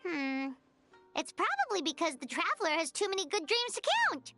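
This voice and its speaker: A woman voicing a tiny, excitable, food-loving fairy companion in a video game, with a chirpy childlike manner. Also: very high voice, normal speed, over music, exaggerated voice. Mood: curious. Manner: chirpy, refers to self in third person.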